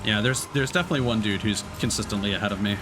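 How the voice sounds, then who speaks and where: A man giving casual, halting gameplay commentary as a live streamer, explaining as he plays. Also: fairly low pitch. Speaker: live streamer playing a racing game. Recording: game music behind the voice.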